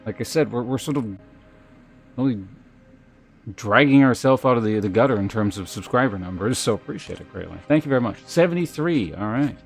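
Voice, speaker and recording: A man's voice: medium pitch, animated, a little fast, gravelly. Male livestreamer over music. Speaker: live streamer host. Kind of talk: casual livestream chat and reading aloud.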